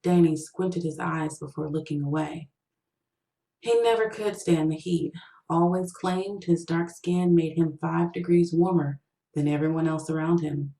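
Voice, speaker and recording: A woman narrates a piece of short fiction as its author. Her voice sits low for a woman. The sound is roomy, with noticeable echo around the words. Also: fairly even intonation, measured pace, dark tone. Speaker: author reading own story.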